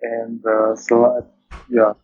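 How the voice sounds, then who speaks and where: A man answering interview questions as a guest, conversational and halting, often repeating phrases. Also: medium pitch, monotone, darkish tone, lo-fi sound, slight background noise. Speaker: podcast guest, literary translator.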